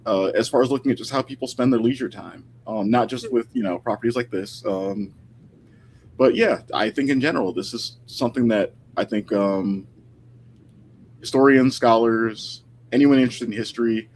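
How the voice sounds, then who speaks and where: A man's voice: medium pitch, normal speed, a little mellow, a little hiss or hum. A man talking casually and thoughtfully as a guest historian in an informal interview, picking his words tentatively over a video call.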